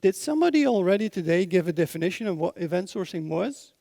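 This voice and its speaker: A man's voice: high voice, sing-song, fast talker, gravelly, warm tone, dry recording, clean studio sound. A man from Belgium with a Belgian accent gives a technical conference talk, explaining things informally and often checking in with his listeners. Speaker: conference speaker, software consultant.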